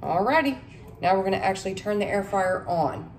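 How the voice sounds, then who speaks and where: A woman's voice: mid-range voice, expressive, fairly quick, a little hiss or hum. Chatty woman cooking at home. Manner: chatty, folksy, addresses viewers as y'all. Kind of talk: casual cook-along talk to camera. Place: home kitchen.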